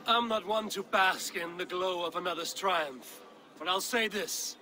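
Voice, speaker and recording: A man playing a steadfast, blunt Viking warrior in a video game, speaking in solemn, measured, formal phrases. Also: high-pitched, sharp tone. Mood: resolute, proud. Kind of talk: dramatic video game acting.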